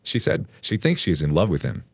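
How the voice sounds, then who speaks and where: A man reading an online post aloud as a storytelling narrator, in an expressive read. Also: low-pitched, brisk, gravelly, breathy edge, no room sound.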